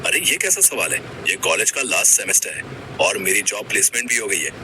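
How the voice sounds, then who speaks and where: A man's voice: lowish voice, very animated, fairly quick, background noise. An actor playing an earnest young college man in love, with a dramatic, romantic manner in a cartoon story. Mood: tender, eager. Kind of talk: Hindi cartoon story voice acting.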